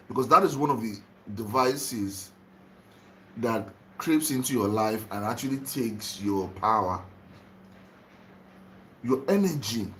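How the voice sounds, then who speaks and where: A young man from Nigeria who has just woken up, livestreaming about spirituality in a slow, repetitive way and greeting viewers by name. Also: lowish voice, slight background noise, low-quality recording. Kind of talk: casual livestream talk on spirituality.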